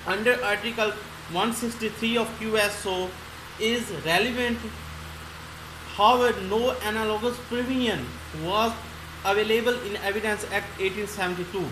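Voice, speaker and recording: Slow-paced woman lecturer in an echoey room. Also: a little high. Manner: switches between English and Hindi-Urdu, explaining each point. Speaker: law lecturer and channel host. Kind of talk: explanatory law lecture, reading legal points. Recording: roomy echo, clear background noise.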